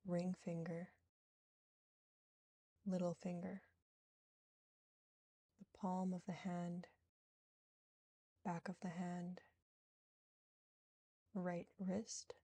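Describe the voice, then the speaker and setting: A woman leads a guided relaxation in a low-leaning, soothing voice with a slight fry. She moves gently and without hurry from phrase to phrase, leaving space between them. The sound is close and dry. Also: no room sound. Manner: soothing, gentle, unhurried with long pauses. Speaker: yoga nidra guide.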